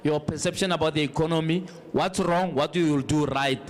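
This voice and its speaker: A man's voice: a little high, steady tone, brisk. A man firmly moderating a live political debate. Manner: firm, calling for order. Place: public town hall event. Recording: noisy live hall recording.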